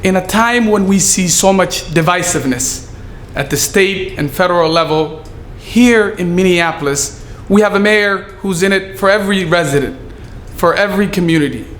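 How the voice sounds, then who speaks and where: Man, higher pitch, earnest measured speech, echoey hall with light background hum. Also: fairly bright tone. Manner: earnest, measured delivery with some halting fillers. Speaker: city staffer giving a speech. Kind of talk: prepared public speech. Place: event hall. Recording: echoey room with faint ambience.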